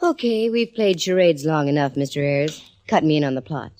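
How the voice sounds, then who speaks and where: A sassy young private eye. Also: female voice, deep voice, lively intonation, heavy background noise. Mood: breezy. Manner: quick wisecracks, teasing and self-assured. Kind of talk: 1940s radio acting.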